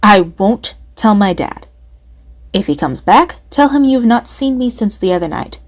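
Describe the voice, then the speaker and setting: Actress, young woman, trusting, candid, friendly confidante, audio drama, animated pitch movement. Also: a little slow.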